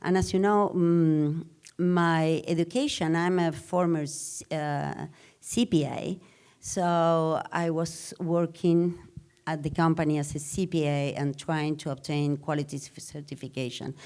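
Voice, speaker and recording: Middle-aged woman with a Spanish accent, her voice sitting a bit low. She answers in an earnest, measured way, pausing to reflect between thoughts. It sounds like a live podcast interview. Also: steady tone, darkish tone, clean tone. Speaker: guest.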